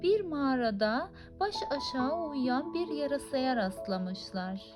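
A woman reads a children's fairy tale in a high-pitched voice. Her intonation is very animated, rising and falling widely as a storyteller. Music plays clearly behind her narration. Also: measured pace. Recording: music under the voice. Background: clearly audible music.

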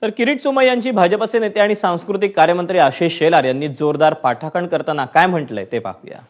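Guy reading news, formal and punchy, pretty high voice, bit muffled. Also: quick pace. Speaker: news presenter. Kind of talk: scripted news narration. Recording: muffled broadcast audio with music bed. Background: news music bed.

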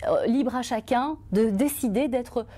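A woman in her forties from Belgium, a journalist and author, telling her own story to camera in an earnest, expressive way. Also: a little high, unhurried. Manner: earnest, expressive, lively hand gestures. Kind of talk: testimonial interview to camera.

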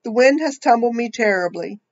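A woman reading the lines of a windblown snowy owl who asks for shelter with flattering courtesy. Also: a little high, a little fast, muffled recording.

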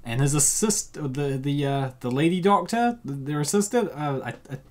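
A man's voice: on the high side, moderate pace, darkish tone. A man hosting a casual comic dub, rambling and joking in slangy commentary.

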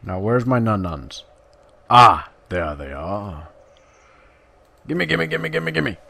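A man's voice: lowish voice, very animated, takes it slowly, vocal fry, pushed and bright. Playful man commentating on his game. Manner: playful, chatty, talking to himself and viewers. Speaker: gaming video maker.

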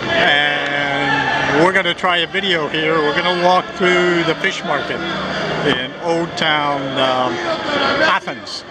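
Older man vlogging in a noisy market. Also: a little high. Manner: relaxed, halting, with many fillers. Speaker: sailing vlogger. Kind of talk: casual walking vlog commentary. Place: indoor fish market. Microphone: handheld camera at arm's length. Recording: loud market noise behind. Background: loud market and street noise.

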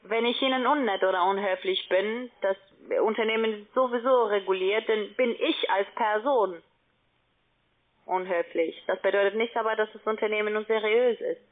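A woman's voice: medium pitch, moderate pace. Non-native female call-centre agent, wordy and insistent, heard over a narrow phone line. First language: not German. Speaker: call-centre agent selling online trading. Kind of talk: phone sales pitch. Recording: tinny, band-limited phone line.

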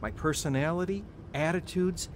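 An actor playing an earnest, patient younger brother of devout faith in a radio drama, sincere and gently questioning. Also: male voice, a little high, normal speed, background noise, natural voice.

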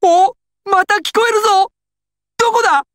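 A woman's voice: very high voice, normal speed, noisy background. A woman voicing a nervous, stammering young male gangster in anime style, servile yet volatile. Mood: furious, frantic. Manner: stammering, swings from timid to explosive. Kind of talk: anime and game dramatic acting.

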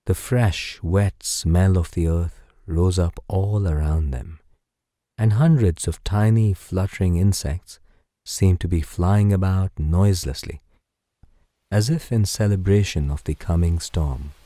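A man softly narrating a guided sleep story in a soothing, unhurried, gentle manner, with a mellow voice. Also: sits low, no echo, clean studio sound.

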